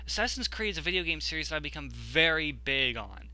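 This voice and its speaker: A man's voice: on the high side, very animated, quick pace, pushed and bright. A young man giving casual, rambling video commentary as an enthusiastic gaming fan. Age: young adult.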